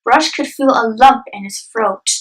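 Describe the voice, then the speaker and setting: A woman's voice: a little high, a little slow, recorded from a distance, rough recording. A young adult woman reading scripted narration for a dramatic story.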